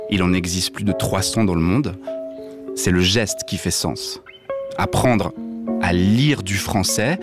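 A man's voice: fairly low pitch, close to an everyday voice. A man playing a deaf man who dislikes reading novels, speaking a blunt scripted radio monologue over music. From Switzerland. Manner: blunt, mildly provocative, direct address. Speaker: radio columnist.

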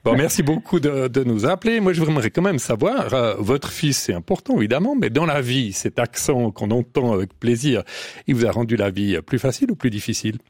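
A man hosts a radio call-in show and moves through the callers in a friendly, brisk way. His voice sits in a middle range, and the studio sound is dry and close. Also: medium pitch, animated, a little mellow, dry recording. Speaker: radio host. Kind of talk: radio talk show hosting.

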